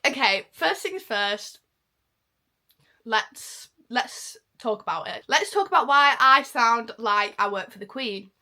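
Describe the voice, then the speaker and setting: A woman's voice: a little high, lively intonation, slow pace, bright, forceful tone. A young woman from Manchester chatting casually in a reaction vlog, mocking herself as she goes. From Manchester, England. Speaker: vlogger.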